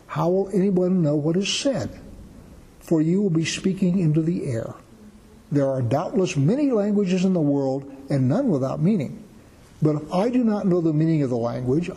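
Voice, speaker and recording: A man with a fairly high but mellow voice teaches through a Bible passage in a relaxed, conversational way, using plain everyday examples. His voice rings with a long reverb, as in a large hall.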